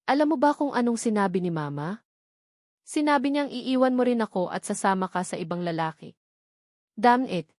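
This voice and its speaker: A woman's voice: mid-range voice, darkish tone, no room sound, studio quality, natural voice. A woman with a Filipino accent voicing an angry, jealous, domineering man in a novel reading. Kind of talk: dramatized novel dialogue.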